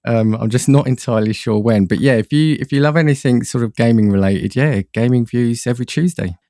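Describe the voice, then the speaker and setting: A man's voice: medium pitch, a little fast, mellow, no echo, studio quality. A man speaking casually as a guest on a podcast, chatting like a fellow podcaster. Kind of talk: casual chat.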